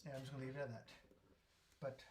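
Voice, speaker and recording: A man's voice: mid-range voice, monotone, fast talker. A young man co-hosting a live building stream, chatting casually and teasingly, often restarting his sentences. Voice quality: joking, teasing, trailing off into restarts. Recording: noisy live-stream audio.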